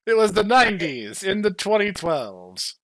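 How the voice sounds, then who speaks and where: A man's voice: high-pitched, sing-song, measured pace, low-quality recording. A man co-hosting a comic dramatic reading, reading parts and trading playful banter. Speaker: podcast co-host and reader.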